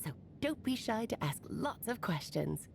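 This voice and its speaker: A woman's voice: fairly high pitch, very animated, unhurried, creaky, a little hiss or hum, heavily acted. A woman voicing a playful, theatrical witch aunt who teases fondly and laughs between her lines. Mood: teasing.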